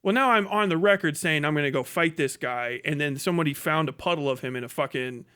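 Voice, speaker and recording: A man's voice: on the high side, fast talker, bright, forceful tone, clear, resonant tone. A man ranting and telling stories casually on a live stream, blunt and swearing freely. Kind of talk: casual, profane ranting and storytelling.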